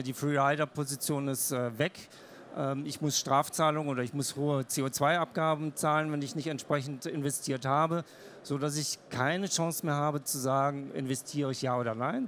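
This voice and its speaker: A man's voice: on the high side, fairly bright tone, slight background noise. A man in his fifties, a managing director, making his point emphatically on a trade fair panel with a handheld mic. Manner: earnest, emphatic, repeats points. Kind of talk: panel discussion on stage. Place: large trade fair hall stage.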